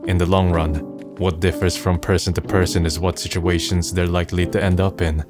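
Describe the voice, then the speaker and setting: A man's voice: sits low, level tone, a little fast, over music, lightly acted. An actor voicing a wry, pensive, melancholy young man in a manga dub, musing and understated. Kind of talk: manga dub dialogue and narration.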